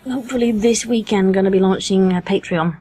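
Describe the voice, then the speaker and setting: British woman, medium pitch, podcast guest, casual conversation, wry, self-deprecating. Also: brisk, mellow, dry recording. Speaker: podcast guest, voice actress and fan fiction writer.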